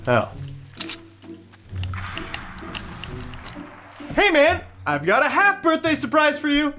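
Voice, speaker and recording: A man's voice: very high-pitched, wildly swooping pitch, normal speed, bright, forceful tone, soft background music, exaggerated voice. An actor playing an enthusiastic, easygoing video game fan, a young man with an eager way of talking in a comedy sketch. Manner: eager, exclamatory. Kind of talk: scripted comedic dialogue.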